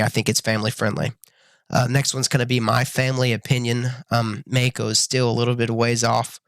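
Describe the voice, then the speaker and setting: A young man reviewing a show to camera in a casual, earnest way, full of ums and restarts, his voice a little raspy from being sick. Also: medium pitch, fairly bright tone, no echo. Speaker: YouTube reviewer.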